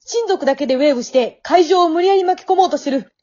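A woman's voice: sits high, lively intonation, quick pace, mellow, dull audio. A woman acting a polite, frank young woman who makes puzzled, matter-of-fact remarks in an amateur radio drama. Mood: bemused.

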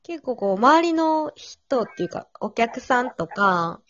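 A woman's voice: fairly high pitch, sing-song, unhurried, a bit bright, dull audio. A woman hosting a casual three-person chat in polite, conversational phrasing. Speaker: host.

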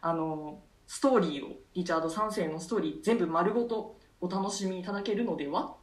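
A woman's voice: fairly low pitch, fairly quick. A woman, an actress co-hosting a radio show, explaining casually among colleagues. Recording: echoey room, distant mic.

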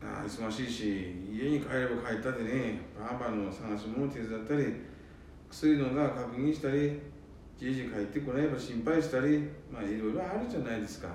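Heard from off the mic in a very echoey space, a man plays a tired, dutiful family man in a radio drama. His medium-pitched voice has a northern Japanese Nanbu accent. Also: fairly quick, a little mellow, close to an everyday voice. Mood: evasive, tired. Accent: Nanbu dialect of northern Japan. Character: weary, dutiful eldest son overwhelmed by caring for parents. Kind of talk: amateur radio drama acting. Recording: very echoey, distant mic, faint rumble.

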